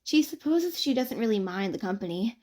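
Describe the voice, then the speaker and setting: A woman reading fan fiction aloud as a narrator, in an expressive audiobook style. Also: fairly high pitch, normal speed.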